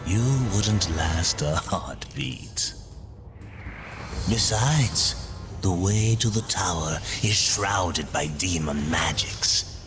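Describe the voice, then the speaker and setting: A man's voice: low-pitched, drawn-out words. A man playing a sly, greedy demon merchant in a video game cutscene, speaking in a theatrical, insinuating and mocking way. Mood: scornful. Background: music.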